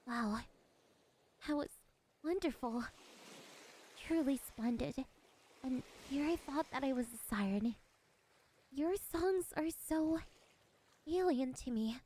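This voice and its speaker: A woman playing a lonely, possessive siren in an ASMR roleplay, speaking in a wistful, gently coaxing and politely menacing way. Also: high voice, lively intonation, slow, pauses often, a little hiss or hum. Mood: admiring, fascinated. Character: lonely, possessive female siren, curious and soft-spoken. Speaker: voice actress.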